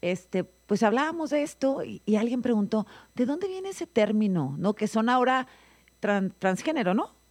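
A woman, chatting casually and asking many curious questions with quick reactions. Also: on the high side, very animated. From Mexico. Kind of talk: casual chat.

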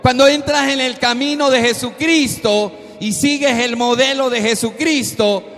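A man with a very high voice delivers a sermon at a slow, deliberate pace. He exhorts his listeners earnestly and breaks into loud emphatic peaks. His voice rings through a long reverberant hall. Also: steady tone. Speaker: preacher.

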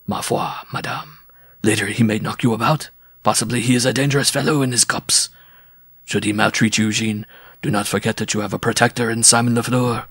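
Man as a male circus rider, swaggering gallant, mocking, florid and conspiratorial, husky, gravelly, storybook character. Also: fairly low pitch, steady tone, a little fast, a bit bright, dry recording, studio quality.

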